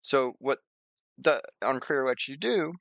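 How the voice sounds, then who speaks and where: Guy doing a casual software walkthrough, gravelly fry, close dry sound, kinda rambly. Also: mid-range voice, expressive, a little slow, no room sound, lo-fi sound. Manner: rambling, repeats phrases, thinks aloud. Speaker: software tutorial presenter. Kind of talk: informal screen-share software demonstration.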